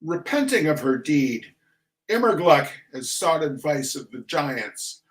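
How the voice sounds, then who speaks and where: A man giving a theatrical reading of a humorous text in a mock-solemn, deliberate manner with dramatic pauses. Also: fairly high pitch, very animated. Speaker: reader of a comic essay.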